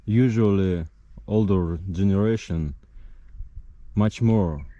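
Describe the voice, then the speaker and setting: A man's voice: on the low side, slow pace, dark tone, no echo, lo-fi sound. A man, hosting an interview in broken English and asking pointed questions. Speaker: interviewer and channel host. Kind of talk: casual interview.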